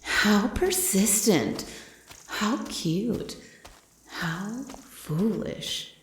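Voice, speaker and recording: A woman voicing a menacing female forest monster that slowly taunts its prey in a mocking horror roleplay. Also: medium pitch, animated, roomy sound, slight background noise. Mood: mocking, amused. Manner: slow, drawn-out, mocking. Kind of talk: horror audio roleplay, ASMR.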